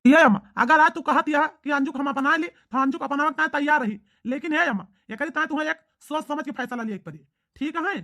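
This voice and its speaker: A performer plays an earnest young village son in a cartoon comedy, in a high, forceful, bright voice with an Awadhi accent. He is dutiful but keeps pressing and pleading. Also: a little fast.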